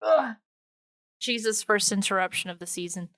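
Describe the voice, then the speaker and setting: Clear, bright woman hosting a casual podcast. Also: mid-range voice, animated, moderate pace, no echo, studio quality. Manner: slangy, informal. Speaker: podcast host.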